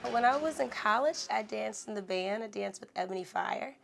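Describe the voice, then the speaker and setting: A woman's voice: medium pitch, expressive, moderate pace, vocal fry, slight background noise. A woman from Maryland who is a cheerleader, speaking in a matter-of-fact way in an interview. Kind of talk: interview testimony.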